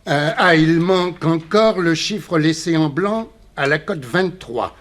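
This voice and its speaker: A man's voice: fairly high pitch, unhurried, close to an everyday voice. An actor playing a jovial, blustering businessman in his sixties, with a hearty theatrical delivery in a 1960s French radio play. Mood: jovial, brisk. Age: older. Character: jovial, brisk 61-year-old businessman and fond father.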